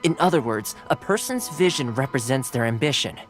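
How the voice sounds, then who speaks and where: A man voicing a courteous, measured retainer. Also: mid-range voice, animated, natural voice. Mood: earnest. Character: polite, composed male retainer guide. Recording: game audio with clear music behind.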